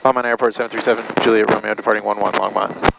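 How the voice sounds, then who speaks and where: A man's voice: lowish voice, steady tone, rapid-fire, gravelly. A man training as a pilot, speaking casually and making radio calls through a headset in a small plane. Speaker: student pilot. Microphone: aviation headset. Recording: noisy cockpit intercom, engine hum.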